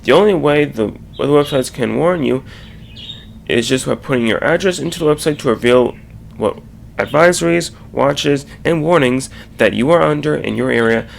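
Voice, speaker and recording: A man giving an informal explanatory slide presentation, haltingly, with stumbles and restarts mid-sentence. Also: medium pitch, gravelly, slight background noise.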